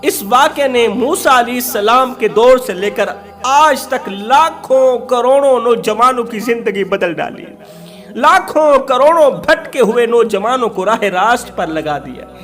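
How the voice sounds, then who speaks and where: A man's voice: very high voice, expressive, a little slow, fairly bright tone, soft background music. A man preaching an emotional Islamic storytelling sermon, impassioned and emphatic, repeating phrases for effect.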